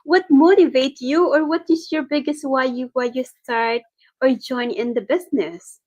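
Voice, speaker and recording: A woman's voice: high-pitched, fairly even intonation, normal speed, a little mellow, no echo. A woman hosting a casual interview, speaking hesitantly in non-native phrasing with many fillers. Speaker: host.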